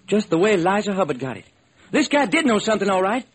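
A man's voice: high-pitched, very animated, fast, husky, heavily acted. An actor playing a plain-spoken, skeptical male police lieutenant in a classic radio crime drama. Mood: skeptical, excited.

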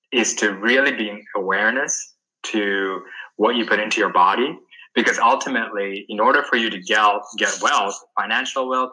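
A man's voice: lowish voice, bright, forceful tone, poor audio. A man from Sri Lanka answering interview questions as a wellness guest, talking earnestly and stressing key words.

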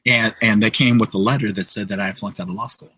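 An American man in his forties with a husky voice, telling his story casually as an interview guest, often checking in with the listener. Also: lowish voice, fairly even intonation, fast talker, sharp tone. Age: 40s.

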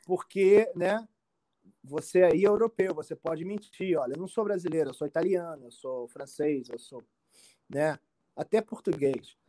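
Male expert guest, Brazilian accent, informal explanatory answers, halting delivery with frequent check-ins, dry sound. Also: on the high side, warm tone, no echo, low-quality recording. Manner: halting, repetitive, checks understanding often. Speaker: immigration expert being interviewed.

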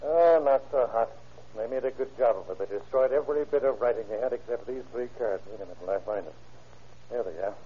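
An actor playing a plain-talking, easygoing police officer with a husky voice in an old-time radio drama. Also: male voice, medium pitch, quick pace, lightly acted. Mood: matter-of-fact. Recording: tinny, narrow vintage radio recording.

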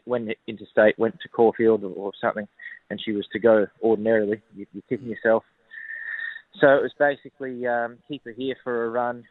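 An Australian man, a racehorse trainer, speaks over a phone line that sounds thin and tinny. His delivery is flat and unhurried, padded with ums and uhs. The sound is dry with no room around it. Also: medium pitch, no room sound. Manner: relaxed, plenty of ums and uhs. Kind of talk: phone interview.

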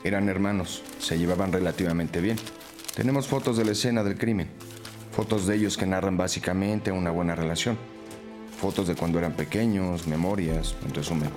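An actor playing a sober, methodical police detective who reports and reflects in a scripted crime podcast drama. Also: male voice, sits low, a little fast, over music, lightly acted. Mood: matter-of-fact. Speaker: detective reporting case facts.

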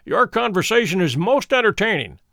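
A man voicing a cool, ironic gentleman detective who speaks blandly and dryly amused. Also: sits high, sing-song, brisk, vocal fry, no echo, clean studio sound, exaggerated voice.

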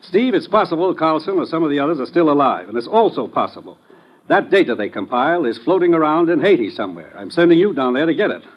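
An American actor playing a businesslike, commanding commissioner in a 1950s radio drama. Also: male voice, fairly high pitch, very animated, quick pace. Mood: urgent, commanding. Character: brisk, authoritative male commissioner briefing his agent. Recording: dull old radio recording.

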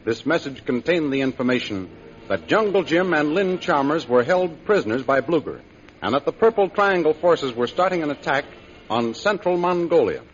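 A man's voice: a little high, slight background noise. A man narrating a 1930s-style radio serial as announcer, formal and declamatory, on a muffled old recording.

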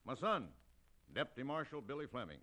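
A man's voice: mid-range voice, sing-song, a little fast, sharp tone. An actor playing a firm, plain-spoken, fatherly American town marshal in an old radio western. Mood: proud. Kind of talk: 1950s radio western acting.

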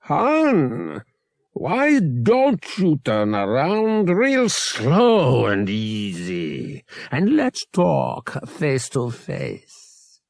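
A man voicing a loud, jovial, menacing bounty hunter. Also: a little high, wildly swooping pitch, very slow, gravelly, no echo, pitched above the performer's own voice, heavily acted. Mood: mocking, genial. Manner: genial, drawling, taunting.

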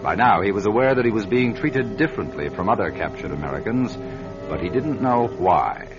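Male actor narrating as an urgent, earnest newsman; low, lively, muffled broadcast with music under. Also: a little fast, natural voice. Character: driven male network journalist narrating his find. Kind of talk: 1970s radio drama narration.

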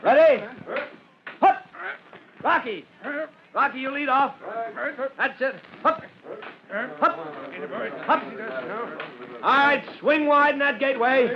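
A brisk young fire lieutenant in an old radio drama, high-pitched and jocular. He snaps out short commands to his crew. The old recording is dull, with voices around him. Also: a crowd in the background. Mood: rallying. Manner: shouting commands in short bursts.

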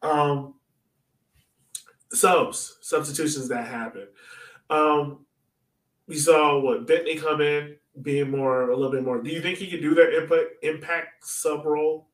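A man's voice: fairly high pitch, steady tone, measured pace. Echoey, distant-miked man chatting sports. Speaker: podcast host. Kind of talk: casual sports podcast chat. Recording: roomy echo, distant mic.

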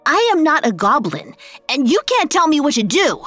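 A woman voicing a naughty, high-strung little boy in a whiny, protesting storybook manner. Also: big pitch swings, normal speed, fairly bright tone, soft background music. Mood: defiant.

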